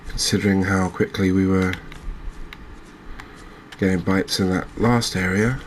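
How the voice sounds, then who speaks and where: A man giving soft-spoken ASMR commentary as he plays a video game, in a measured, gentle, chatty manner. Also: low voice, fairly even intonation, a little hiss or hum. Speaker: host playing a fishing video game.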